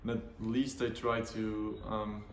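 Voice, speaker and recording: A man's voice: lowish voice, level tone, takes it slowly. A man in his late teens, a student, giving casual interview answers. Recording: roomy echo, faint background noise.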